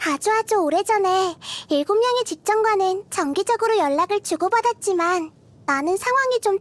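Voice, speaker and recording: A woman voicing a tiny, childlike goddess of wisdom in a video game, cute and girlish, gentle, curious and thoughtful, musing softly. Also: very high-pitched. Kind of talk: video game character voice lines.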